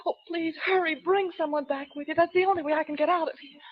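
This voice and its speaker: An actress playing a frightened young woman held captive, pleading in a hushed, urgent voice. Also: extremely high pitch, steady tone, quick pace. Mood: terrified. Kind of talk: 1940s radio acting. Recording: muffled 1940s radio broadcast.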